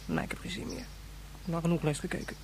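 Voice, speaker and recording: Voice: mid-range voice, big pitch swings, rapid-fire, creaky, a little hiss or hum, low-quality recording. An actor in a radio drama playing a curious, opinionated child who mutters childlike musings to themselves. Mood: curious, muttering. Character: curious, opinionated child watching history lessons alone.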